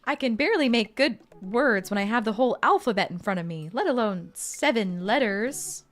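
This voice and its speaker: A woman's voice: on the high side, very animated, moderate pace, a little hiss or hum. Bubbly young woman streamer. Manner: bubbly, chatty, reads game text aloud. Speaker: gaming streamer. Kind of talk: casual let's-play commentary.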